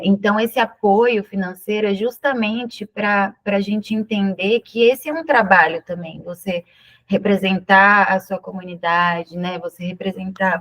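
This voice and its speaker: Woman organizer with a mid-pitched voice, hesitant and explanatory on a call. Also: fairly bright tone. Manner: hesitant, many "né" and "é" fillers. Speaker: organizer answering questions. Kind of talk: explanatory answer in a meeting. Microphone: video call.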